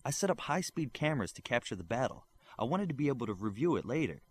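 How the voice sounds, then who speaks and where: A man's voice: medium pitch, sing-song, fast, darkish tone, husky. A man voicing an earnest, blunt young superhero in an audiobook. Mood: matter-of-fact.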